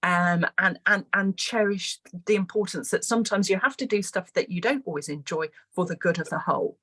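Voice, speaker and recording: A woman from Malawi, a school head on a webinar panel, speaking earnestly and articulately with emphatic repetition in a husky voice. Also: medium pitch, a little fast, pushed and bright. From grew up in Malawi as an expatriate. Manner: earnest, emphatic, articulate, repeats words for stress.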